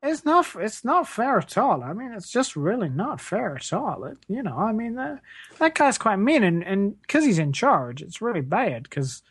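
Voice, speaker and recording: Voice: mid-range voice, moderate pace, husky, no echo. Someone doing a hard-done-by space plumber. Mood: aggrieved. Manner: plaintive, rambling, hesitant deadpan. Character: hard-done-by, whiny working-class space plumber, earnestly absurd. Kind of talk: improvised mock interview.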